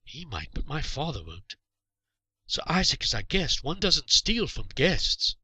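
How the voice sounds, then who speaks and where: A man voicing a frightened, guilt-ridden medieval boy in a storybook reading, stammering and pleading in a halting way. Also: a little high, big pitch swings, bright, forceful tone, husky, dry recording, voice pushed up, exaggerated voice. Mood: sheepish.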